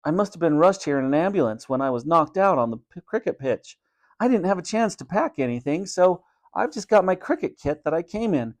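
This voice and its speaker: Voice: mid-range voice, animated, brisk, voice pushed up, close to an everyday voice. A storyteller voicing a timid, polite schoolboy who speaks hesitantly and pleads. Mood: meek.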